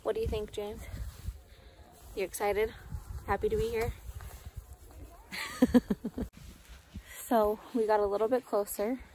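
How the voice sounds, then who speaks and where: Woman, 30s, casual travel vlog, phone at arm's length, upbeat and chatty, clear road noise. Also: mid-range voice, little pitch movement, slow, pauses often, mellow. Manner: chatty, upbeat, describing sights as she films. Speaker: travel vlogger. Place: in a car, a hotel room and outdoors in snow. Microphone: phone camera held at arm's length. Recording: road and wind noise behind a phone recording. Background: car and outdoor ambience.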